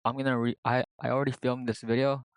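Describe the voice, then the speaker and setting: A man's voice: medium pitch, level tone, fast talker, clean tone, no room sound. A young man with a Filipino accent talking through his plans in a casual vlog, rambling with many fillers and restarts. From Philippines. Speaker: vlogger.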